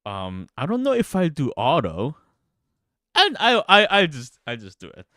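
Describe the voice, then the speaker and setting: Stammering man with swooping pitch. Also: huge pitch swings, moderate pace, pushed and bright, no room sound, studio quality. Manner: stammering, repeats words and phrases. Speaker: game developer and streamer. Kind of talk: casual livestream chat with some read-aloud narration. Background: guitar music.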